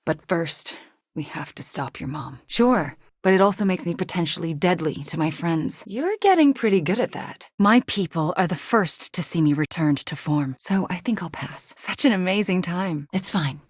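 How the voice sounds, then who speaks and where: A brooding young sorceress hero from a video game. Her voice is fairly low and husky with a soft, breathy edge, recorded very dry. She speaks in a measured, earnest and intimate way, as someone who carries guilt. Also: animated, dry recording. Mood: earnest, gentle. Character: brooding, remorseful young woman sorceress superhero. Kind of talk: dramatic video game character dialogue.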